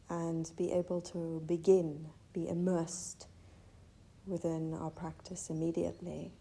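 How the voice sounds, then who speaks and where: A woman teaching guided meditation in a gentle, measured manner, leaving long pauses between phrases. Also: on the low side, gravelly. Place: retreat venue. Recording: faint background ambient noise.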